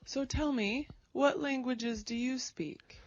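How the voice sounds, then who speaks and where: A woman speaking slowly and clearly in a simple conversation made for English learners. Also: sits high, lo-fi sound, slight background noise. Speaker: conversation partner in English lesson.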